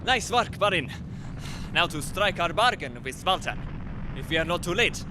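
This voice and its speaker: A man playing an excitable, defiant scientist, emphatic and theatrical in the manner of a 1930s radio serial. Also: normal speed. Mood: urgent. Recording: clear rumbling background noise.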